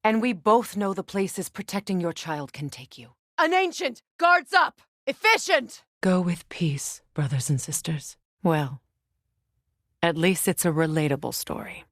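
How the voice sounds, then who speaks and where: A woman's voice: lowish voice, sing-song, unhurried, fairly bright tone, no room sound, full character voice. A fierce goddess and mother from a fantasy video game. She is proud and wounded by grief, speaking with grave, commanding intensity in a close, dry recording. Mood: bitter, intense, then urgent battle shouts, then weary, sorrowful.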